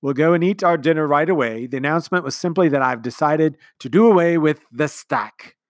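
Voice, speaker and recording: A man's voice: a little high, fairly quick, no echo, studio quality, voice pushed up, natural voice. A man doing a pompous, rambling commander. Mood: brisk. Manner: long-winded, pompous speechmaking. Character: grandiose, sentimental middle-aged male commander.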